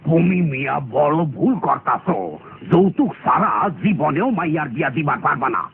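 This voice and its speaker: A man voicing a greedy, threatening village villain in a cartoon, gravelly, with a Bangladeshi accent. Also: fairly high pitch, moderate pace. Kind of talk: animated cartoon dialogue. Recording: muffled lo-fi cartoon audio, faint noise.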